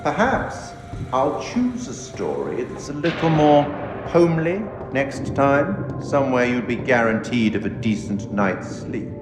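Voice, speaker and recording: An actor playing a sinister, urbane keeper of tales in a horror game, speaking in measured, formal, wry and ominous monologue. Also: male voice, medium pitch, very animated, background noise. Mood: amused, courtly. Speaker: curator, narrator of a horror game. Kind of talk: theatrical scripted game monologue. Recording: echoey long reverb, music behind. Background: orchestral music.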